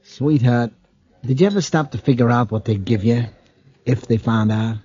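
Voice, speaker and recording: An actor playing a sly, wheedling American ex-convict blackmailer in an old-time radio drama, slangy and falsely sweet with menace underneath. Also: male voice, medium pitch, moderate pace, dark tone, slightly rough, no echo, dull audio, close to an everyday voice. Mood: cold, pressuring. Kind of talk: 1940s radio drama acting.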